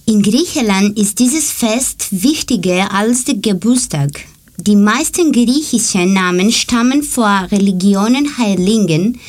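A woman from Greece presenting a class segment in a slow, careful learner's manner. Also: mid-range voice, expressive, a little mellow. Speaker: student presenter. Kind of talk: read-aloud class presentation.

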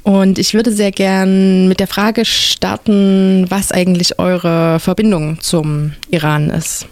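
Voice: mid-range voice, little pitch movement, takes it slowly, a little mellow, no echo. A radio host in a studio, introducing guests and asking them questions in an interview.

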